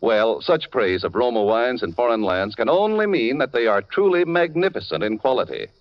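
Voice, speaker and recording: A man's voice: mid-range voice, very animated, moderate pace. A man with an American accent, a polished, emphatic, formal 1940s radio announcer. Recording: very muffled old radio broadcast. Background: music.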